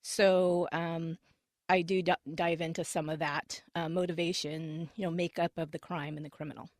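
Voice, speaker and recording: A woman's voice: on the low side, level tone, moderate pace, no echo, studio quality. A woman podcast host describing her show in a roundtable discussion, chatty and often correcting herself mid-sentence. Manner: chatty, self-correcting, many fillers.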